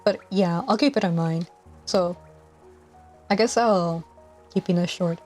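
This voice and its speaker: A young woman in her twenties, soft-spoken and hesitant, chatting on a live stream while gaming, with a mic clipped to her collar. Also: lowish voice, unhurried. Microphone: lapel mic clipped to collar. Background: faint game music.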